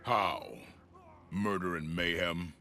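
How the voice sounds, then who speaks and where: A man playing a gruff, confident creature hero in a video game, terse and tough in his delivery. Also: deep voice, steady tone, slow pace, full character voice. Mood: stern, confrontational. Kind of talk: video game cutscene acting. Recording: loud music under the voice.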